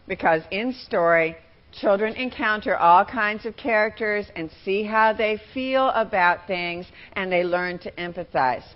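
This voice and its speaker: A woman giving a teaching talk as a workshop trainer, with deliberate, emphatic phrasing. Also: medium pitch, muffled recording.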